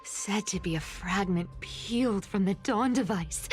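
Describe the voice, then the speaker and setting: A woman's voice: medium pitch, natural voice. A woman playing a sly, gleeful young thief in a video game, muttering theatrically to herself as she schemes. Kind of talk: video game character acting. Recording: music behind the voice.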